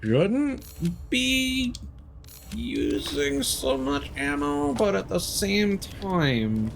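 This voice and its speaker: A man's voice: sits high, very animated, drawn-out words, vocal fry, a little hiss or hum. A man giving casual live video game commentary, thinking aloud and swearing now and then.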